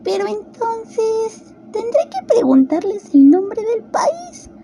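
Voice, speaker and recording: A woman's voice: extremely high pitch, sing-song, takes it slowly, very noisy, pitched above the performer's own voice, full character voice. A woman voicing a curious, chatty, self-important little girl musing aloud in a storybook radio play.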